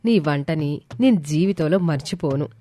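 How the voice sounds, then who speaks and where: A woman voicing a cunning, flattering female guest in a cartoon moral story. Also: low-pitched, normal speed. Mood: ingratiating. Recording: music under the voice.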